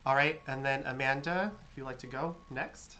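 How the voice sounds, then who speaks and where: Host from California, mid pitch, talks casually with lots of ums over a video call. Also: moderate pace, sharp tone, poor audio. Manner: chatty, filler-heavy, upbeat. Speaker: panel host. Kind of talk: casual online panel chat.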